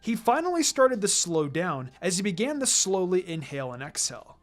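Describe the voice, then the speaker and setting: An expressive storyteller reads fan fiction aloud in a medium-pitched voice. The delivery moves up and down in lively fashion, with music playing behind the narration. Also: brisk. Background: background music.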